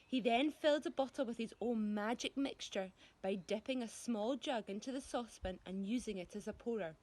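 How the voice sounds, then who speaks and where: A woman reading a story to schoolchildren expressively, giving descriptive words dramatic, deliberate emphasis. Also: on the high side, darkish tone, slight background noise.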